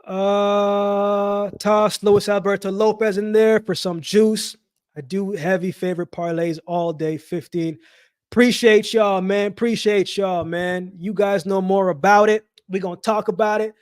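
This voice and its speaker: A high-voiced man hosts a casual live chat in a dry, close-sounding space. He talks in loose slang and often says a phrase again for emphasis, as if speaking straight to his regulars. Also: steady tone, measured pace, smooth, dry recording. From Jamaica-born, raised in Canada. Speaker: livestream host. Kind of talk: casual live chat with viewers, boxing commentary.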